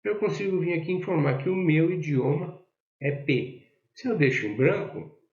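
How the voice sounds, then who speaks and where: A man with a Brazilian Portuguese accent teaching a software tutorial, explaining step by step and often checking in with the listener. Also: a little high, expressive, moderate pace. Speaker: software instructor. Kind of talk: screen-share software tutorial. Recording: echoey, dull lo-fi audio.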